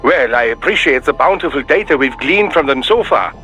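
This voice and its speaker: An actor playing an erudite, polite, dryly pragmatic scientist in a video game, speaking formally and precisely. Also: male voice, medium pitch, expressive, brisk, sharp tone, faint music behind.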